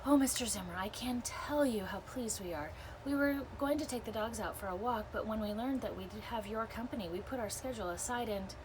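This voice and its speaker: Woman reading as a flustered, gushing dog-daycare manager, pitched high. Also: fairly quick, hardly any pauses, noisy background, lightly acted. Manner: breathless, exclaiming, fussy, bossy-sweet. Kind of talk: children's book read-aloud.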